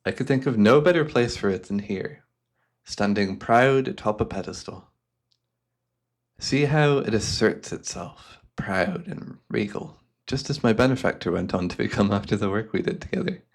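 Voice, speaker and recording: A man's voice: lowish voice, vocal fry, a little mellow. A man playing a courteous, devious hypnotist who speaks in a formal, soothing, theatrical way, as in an ASMR roleplay. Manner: suave, formal, unhurried, theatrical. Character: devious, courteous male hypnotist guiding a client.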